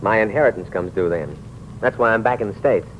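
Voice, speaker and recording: A man's voice: lowish voice, a little fast, lightly acted. An actor playing a mocking, wealthy man with a weak heart who needles his companion with dry wit. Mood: taunting. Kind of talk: 1950s radio drama acting. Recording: dull, noisy old radio recording.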